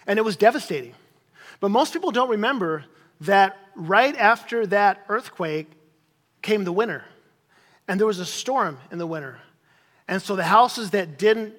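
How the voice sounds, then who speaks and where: A middle-aged male pastor delivers a sermon in a noticeably high voice. His delivery is conversational yet emphatic, often restating a phrase to make it stick. The long reverb of a large hall trails his words. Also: a bit bright. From grew up in Chile as a missionary kid.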